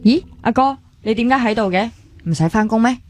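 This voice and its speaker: A woman's voice: a little high, brisk, a little mellow, dry recording, faint music behind, lightly acted. A woman with a Hong Kong Cantonese accent acting a caring young hospital worker in a radio drama, speaking earnestly and conversationally with some English words mixed in. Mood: curious, familiar. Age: young adult.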